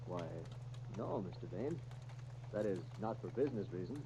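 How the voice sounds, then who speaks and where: A man's voice: on the low side, lively intonation, normal speed. An actor playing an earnest, polite, naive young small-town salesman with an American accent, hesitant and eager, in 1930s radio drama style. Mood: wary, defensive. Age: young adult. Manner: eager, puzzled, hesitant, respectful. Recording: muffled 1930s radio disc, faint noise.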